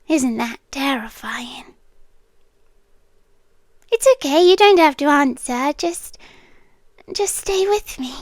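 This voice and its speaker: An obsessive young yandere girl with a British accent, acted by a woman, murmurs in a high, soft voice right up at the microphone. The sound is dry and intimate, as in ASMR roleplay. She takes her words slowly and deliberately, often dropping to a whisper, with longing and quiet menace. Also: dry recording. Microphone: close microphone.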